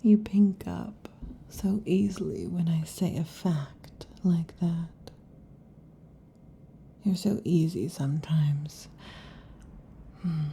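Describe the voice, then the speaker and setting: A woman voicing a possessive, overheated female lamia in an ASMR roleplay, whispering softly and teasingly into a close mic. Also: lowish voice, slow pace, vocal fry, pauses often, a little hiss or hum. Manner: soft intimate whispering, teasing. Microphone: close sensitive mic.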